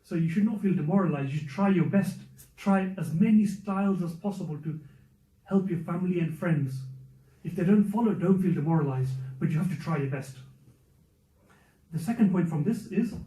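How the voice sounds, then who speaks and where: A man gives a conversational religious lesson, pressing his points by repeating them. His voice is dark and mellow, sitting a little high for a man. He sounds distant in a roomy, echoing space, and the recording is dull and muffled. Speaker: youth circle teacher. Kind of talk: religious lesson to a youth and parents circle. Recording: muffled, echoey room, speaker away from mic.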